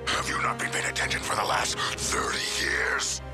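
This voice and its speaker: A man voicing a grumpy, menacing middle-aged criminal mastermind in a cartoon, with a gravelly growl. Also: moderate pace, close to an everyday voice. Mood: angry, commanding. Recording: loud music behind.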